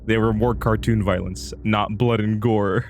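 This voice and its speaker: A man's voice: fairly low pitch, steady tone, a little slow. A man livestreaming a dramatic reading of an amateur horror story. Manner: breaks off into amused asides.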